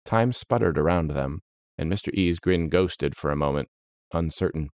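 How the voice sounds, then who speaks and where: A man narrating a scripted fantasy story in a solemn, dramatic, measured manner. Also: deep voice, a little mellow, no room sound, studio quality.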